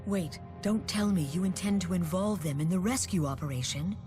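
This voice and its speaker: A slightly low, stately voice of a mature female leader in a game cutscene, acted by a woman. She is composed and formal, with faint music underneath. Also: normal speed, close to an everyday voice. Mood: concerned. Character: dignified, composed mature female head of government. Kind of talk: video game cutscene acting.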